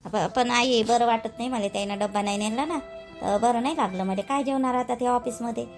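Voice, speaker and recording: A woman's voice: a little high, brisk, faint music behind, natural voice. A woman playing a dutiful young village wife in a cartoon, plaintive and rambling, with a Vidarbha Marathi accent. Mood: worried. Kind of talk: homely cartoon drama dialogue.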